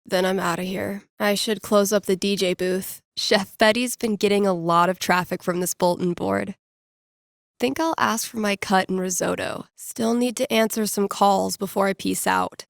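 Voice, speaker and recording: An actress playing a wry, nerdy young record-store clerk and radio DJ in a video game, muttering to herself in a dry, sardonic, conversational way. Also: female voice, mid-range voice, gravelly, no echo, natural voice. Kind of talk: naturalistic video game voice acting.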